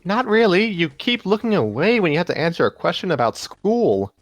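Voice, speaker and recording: Man putting on an excitable, swooping young woman. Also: sits high, fairly quick, dry recording, soft background music, voice pushed up. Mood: concerned, curious. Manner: breezy, eager, animated. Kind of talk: story character reading.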